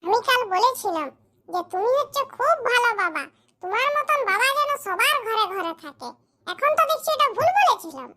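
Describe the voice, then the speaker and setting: A performer voicing a squeaky, indignant young man in a comedy cartoon, bickering. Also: sing-song, normal speed, sharp tone, heavily acted. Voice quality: squeaky, artificially high voice. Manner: scolding, bickering, rhetorical questions. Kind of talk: exaggerated comic cartoon dialogue in rural dialect.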